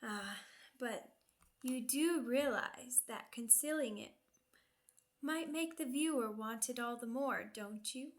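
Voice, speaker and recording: A woman's voice: on the high side, slow. A woman playing a seductive, sadistic vampire noblewoman in an ASMR roleplay, speaking formally and teasingly, savoring each phrase.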